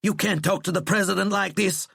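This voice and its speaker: A man's voice: lowish voice, little pitch movement, a little fast, a bit bright, clear, resonant tone, no room sound, studio quality, natural voice. A man voicing a furious, petulant, self-important American president in an audiobook, blustering and defensive. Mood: indignant. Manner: blustering, defensive, prone to screeching. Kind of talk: audiobook character acting.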